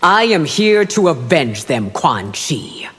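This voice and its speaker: A stern, righteous empress from a fighting game. Her low voice moves slowly and formally with dramatic rises and falls. It rings with long reverb over music. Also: sing-song, a bit bright, breathy edge, full character voice. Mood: righteous anger. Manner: imperious, formal phrasing. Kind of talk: fighting-game confrontation dialogue.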